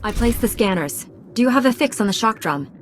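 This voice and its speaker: A woman's voice: fairly high pitch, expressive, a little fast, soft background music, close to an everyday voice. An actress playing a confident young female Jedi knight in a video game, speaking firmly and directly. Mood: reassuring. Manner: firm, direct, assured.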